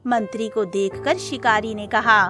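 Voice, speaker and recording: A woman's voice: a little high, fairly even intonation, measured pace, pushed and bright. A woman narrating a scripted cartoon moral story. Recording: music under the voice.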